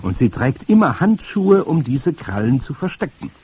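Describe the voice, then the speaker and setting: A man's voice: mid-range voice, big pitch swings, moderate pace, dark tone, slightly rough, voice pushed up. A man voicing a very old grandmother who solemnly warns her grandson about witches. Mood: grave. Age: older. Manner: stern, solemn warnings. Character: very old, stout, wise grandmother.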